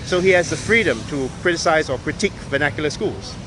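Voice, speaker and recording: High-voiced man, British accent, emphatic. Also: expressive, fast talker, noisy background. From Malaysia. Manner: emphatic, tags points with "okay?" and "right?". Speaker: vlogger. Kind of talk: opinionated monologue.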